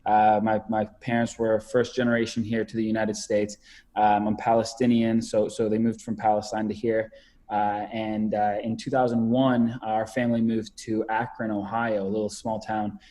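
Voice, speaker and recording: A man's voice: fairly low pitch, level tone, normal speed, mellow. A man in his twenties, a podcast guest talking casually over a video call, rambling with many fillers and repeated words. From Canada. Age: 20s.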